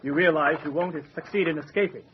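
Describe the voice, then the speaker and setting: A man's voice: fairly high pitch, quick pace, lightly acted. Man playing a menacingly polite ship villain. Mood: cool menace. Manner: formal, coolly courteous. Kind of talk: old-time radio adventure revival acting. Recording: dull radio sound, faint background noise.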